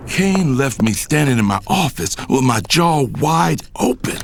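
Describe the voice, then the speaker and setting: A man's voice: on the high side, very animated, unhurried, gravelly, a little hiss or hum, exaggerated voice. A man acting as a hot-tempered, domineering middle-aged father and company boss in an audio drama. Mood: indignant, stunned. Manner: commanding, indignant. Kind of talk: dramatized first-person audio drama narration and dialogue. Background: music.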